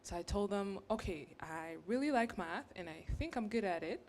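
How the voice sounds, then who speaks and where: A young woman from Rwanda, a graduate student on a panel, telling her story in an animated, earnest way. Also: mid-range voice, normal speed, a little hiss or hum. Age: young adult.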